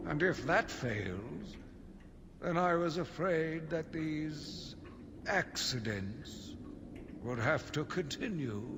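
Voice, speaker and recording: A slow, brooding, embittered asylum doctor. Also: male voice, on the high side. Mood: menacing, resolute. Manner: solemn, measured, brooding, theatrical. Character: embittered male asylum doctor, grief-stricken, zealous, slowly turning vengeful. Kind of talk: dramatic scripted monologue, video game audio log. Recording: long reverberant echo, loud background noise.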